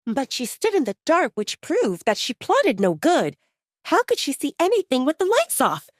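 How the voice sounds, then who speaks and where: A woman's voice: sits high, wildly swooping pitch, moderate pace, no room sound, studio quality, pitched above the performer's own voice. A woman voicing a sly young female werewolf rival in a sugary, indignant manner for an audio drama. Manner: sugary, wheedling.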